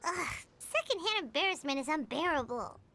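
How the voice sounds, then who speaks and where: Squeaky, exasperated little companion. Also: female voice, big pitch swings, normal speed, a little hiss or hum, heavily acted. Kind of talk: video game voice acting. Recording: distant, under loud playful music.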